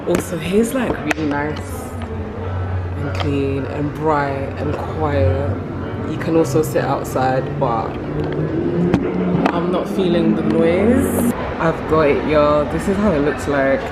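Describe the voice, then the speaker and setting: A woman's voice: low voice, poor audio. A woman, chatting casually to viewers as a travel vlogger on a handheld phone camera. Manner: chatty, addresses viewers as "y'all". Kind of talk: casual vlog talk to camera. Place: street and shops of a city, in and out of doors.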